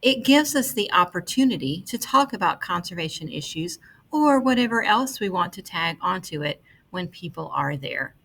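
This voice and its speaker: A woman reading an article aloud as scripted narration, with measured, deliberate phrasing. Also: on the low side, sing-song, fairly bright tone, breathy edge.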